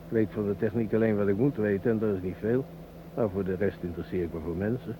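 An actor playing a chatty train conductor, bantering and grumbling, in a naturalistic radio drama. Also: male voice, on the low side, noisy background, lightly acted.

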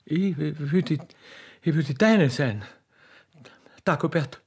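A male actor plays a mature Viennese widow of a butcher, rich, blunt and coquettish. The voice sits a little high for a man, with folksy, halting turns and an insistent wooing manner, in theatrical comic radio-play style. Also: breathy edge, exaggerated voice. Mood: pleading.

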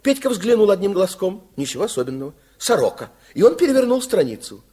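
A male narrator of a radio fairy tale with a high, husky voice. His intonation swings very widely. He tells the story expressively, with a wry touch. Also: big pitch swings, quick pace, dark tone.

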